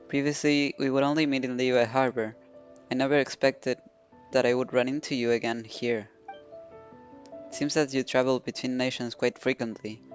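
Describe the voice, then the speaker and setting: A man's voice: medium pitch, highs cut off. A man streaming a game, giving casual playthrough commentary and reading dialogue aloud.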